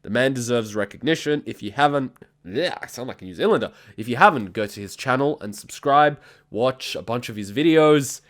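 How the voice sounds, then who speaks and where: A man's voice: mid-range voice, brisk. Brief: male, twenties, hosts a reaction video with a blunt, profane ranting delivery. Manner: ranting, blunt, heavy swearing. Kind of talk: casual reaction commentary.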